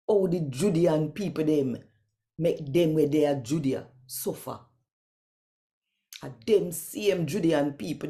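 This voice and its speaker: A woman with a Jamaican accent reading scripture expressively in Patois, with deliberate, emphatic, storytelling phrasing. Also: lowish voice, mellow. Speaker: scripture reader.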